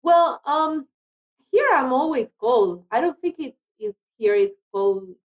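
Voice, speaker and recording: A woman's voice: sits high, animated, takes it slowly. A woman from Argentina, a native Spanish speaker with an Argentine accent, chatting casually as an interview guest. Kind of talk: casual chat.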